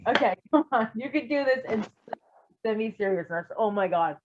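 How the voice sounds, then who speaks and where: Giggly woman w a high voice reading fanfic out loud, chatty n expressive, kinda dull lofi mic. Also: a little fast, background noise. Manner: giggly, expressive, exclaiming and swearing. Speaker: fan fiction reader. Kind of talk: giggly live read-aloud with casual chat.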